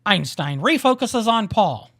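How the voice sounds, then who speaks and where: A woman's voice: medium pitch, big pitch swings, measured pace, a bit bright. Woman narrating an audio drama.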